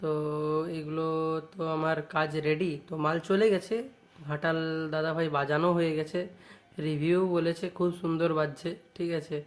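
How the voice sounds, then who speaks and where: A man's voice: a little high, level tone, normal speed. A man with a West Bengali accent casually showing off products as a shop owner vlogging, often adding little checking tag phrases. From West Bengal, India. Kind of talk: casual product showcase vlog.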